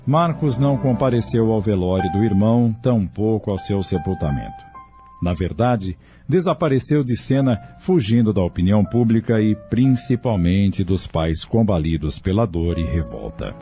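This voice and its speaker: A man's voice: on the low side, animated, moderate pace. A man with a Brazilian accent giving a scripted, dramatic story narration. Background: music.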